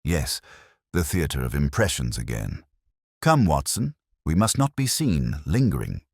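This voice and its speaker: Guy doing a sharp detective for an audiobook, really deep voice, brisk and exact, kinda lecturing, animated. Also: no echo, studio quality, full character voice. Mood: intent, secretive. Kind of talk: audiobook character dialogue.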